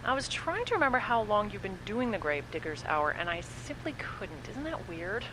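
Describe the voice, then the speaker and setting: An actress playing Abby, a cheerful morning-show host on a small-town radio station, with a bright, upbeat announcer delivery. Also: female voice, mid-range voice, very animated, normal speed, natural voice. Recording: noisy audio drama recording.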